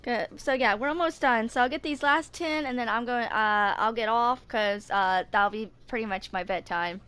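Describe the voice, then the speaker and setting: A woman in her twenties chatting casually and haltingly to herself during gameplay, with game music behind her. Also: a little high, bright, forceful tone, clean tone. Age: 20s. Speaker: gaming video creator. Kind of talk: casual off-the-cuff gameplay chat.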